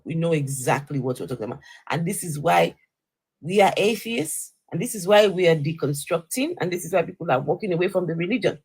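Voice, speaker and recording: A man's voice: on the high side, slightly rough. A man debating as a live-stream host, arguing emphatically and repeating his phrases for weight. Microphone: live-stream call.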